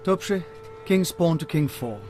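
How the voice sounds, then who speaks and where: An actor playing a brilliant, dry-witted male private investigator, measured and articulate, in a radio drama. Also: on the high side, lively intonation, darkish tone, soft background music. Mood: dry, cool.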